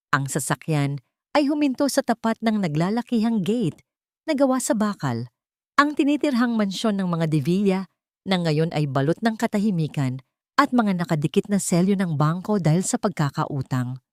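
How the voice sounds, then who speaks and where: Woman narrator with a low voice, dry sound. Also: darkish tone, dry recording. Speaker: narrator of Tagalog love stories. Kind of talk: scripted dramatic story narration.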